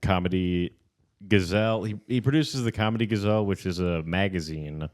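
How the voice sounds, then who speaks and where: Deep-voiced man joking on a podcast. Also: a little fast, a little mellow. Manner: rambling, stammering, joking. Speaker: podcast co-host. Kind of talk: casual comedy chat.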